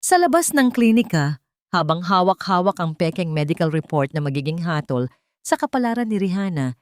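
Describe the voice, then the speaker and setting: A woman's voice: lowish voice, a little mellow, no echo, studio quality. A woman reading a scripted romance story as its narrator, in a dramatic storytelling style.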